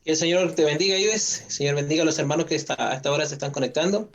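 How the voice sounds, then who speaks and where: A man, a pastor, telling his story as an interview guest in a measured way with fillers. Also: fairly high pitch. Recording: dull lo-fi audio.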